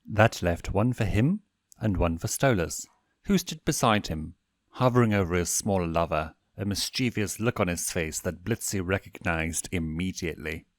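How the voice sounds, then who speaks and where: A man reading a story aloud in an expressive audiobook narration style. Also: sits low, normal speed, dry recording, clean studio sound. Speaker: fanfiction narrator.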